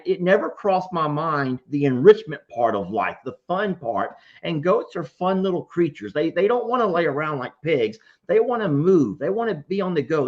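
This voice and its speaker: Casual, folksy host for live caller chat, animated intonation, slightly muffled lo-fi audio. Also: medium pitch. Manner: folksy, informal. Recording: dull, lo-fi sound.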